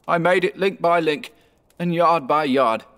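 A man's voice: a little high, unhurried, fairly bright tone, clean tone, big-room sound, voice pushed up. A man voicing a tormented, remorseful ghost in a storybook reading, with grave, wailing declamation. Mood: grave, mournful. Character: tormented male ghost, mournful, remorseful and foreboding.

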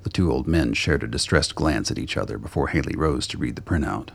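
Very deep, gravelly male narrator. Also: expressive, brisk. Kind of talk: scripted radio play narration.

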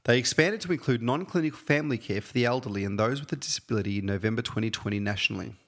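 A man hosting his podcast, reading a scripted introduction and then interviewing guests, a little halting when off script. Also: mid-range voice, a bit bright. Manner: earnest, slightly halting when unscripted. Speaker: podcast host.

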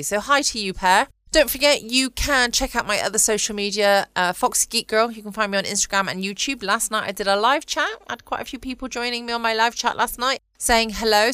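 A woman hosts a casual late-night podcast. Her voice is bright and smooth in a dry recording. She rambles along, self-deprecating, tagging questions onto her remarks. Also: mid-range voice, no echo. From United Kingdom. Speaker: podcast host.

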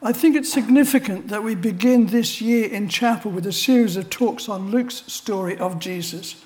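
A man's voice: sits high, normal speed, a little mellow. A man, preaching a chapel sermon and telling a personal story with dry humorous asides. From Australia. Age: 70s.

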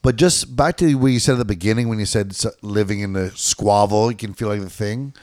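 A man co-hosting a podcast in casual chat, goofy and quick to laugh. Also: lowish voice, a little mellow, dry recording, clean studio sound. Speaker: podcast co-host.

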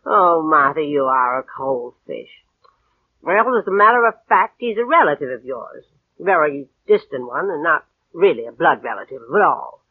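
An actress playing a vain, demanding old invalid aunt in 1940s radio drama, querulous, condescending and coquettish. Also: female voice, sits low, lively intonation, normal speed, narrow, tinny audio. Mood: impatient, fussy. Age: older. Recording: husky band-limited old radio recording.

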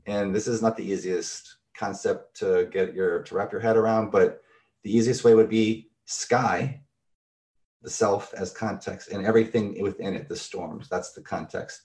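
A man's voice: lowish voice, fairly even intonation, normal speed, a little mellow. A middle-aged man, a therapist, explaining concepts in a thoughtful, conversational way with everyday examples.

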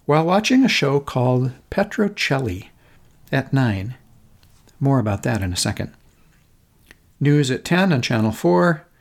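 A middle-aged man from Minnesota with an American accent, reading a personal memoir essay in a measured, literary storytelling manner. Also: medium pitch, sing-song. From Minnesota, United States. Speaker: podcast host.

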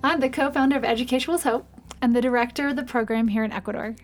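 High-pitched chatty woman podcast host. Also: very fast, faint music behind. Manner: chatty, laughing often, teasing. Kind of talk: casual podcast chat.

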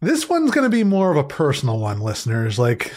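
A man's voice: a little high, huge pitch swings, a little fast, a little mellow. A man co-hosting a casual chat show with dry, joking remarks. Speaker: podcast co-host.

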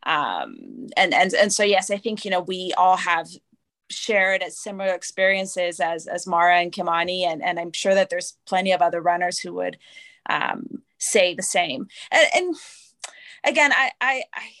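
A woman's voice: lowish voice, sing-song, moderate pace, fairly bright tone. A woman from Canada speaking thoughtfully as a panel guest, often restarting words. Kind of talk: casual panel discussion.

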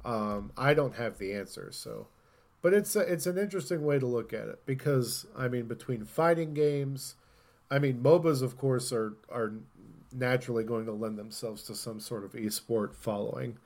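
A man hosting a casual gaming podcast, talking in an opinionated way and thinking out loud as he goes. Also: medium pitch, normal speed. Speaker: podcast host.

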